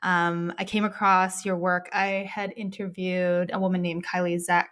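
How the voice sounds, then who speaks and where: A woman's voice: lowish voice, level tone, normal speed, fairly bright tone. Gushing chatty woman podcast host. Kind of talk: casual interview chat.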